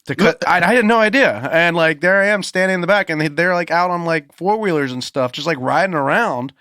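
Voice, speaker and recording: A man, a singer-songwriter guest, telling animated stories in casual podcast chat with lots of fillers and laughter. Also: a little high, a little fast, no room sound, clean studio sound.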